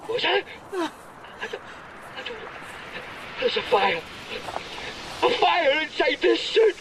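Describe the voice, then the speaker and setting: An actor in a radio drama playing a delirious rescuer in a spacesuit, halting and anguished, convinced he is burning. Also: extremely high pitch, very animated, noisy background, heavily acted. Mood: panicked.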